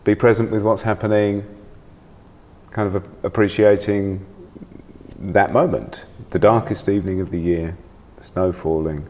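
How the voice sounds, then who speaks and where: A man hosting a reflective conversation, speaking haltingly in short phrases with pauses. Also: lowish voice, steady tone, darkish tone, in a large hall, slight background noise. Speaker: podcast host. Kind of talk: gentle reflective interview conversation.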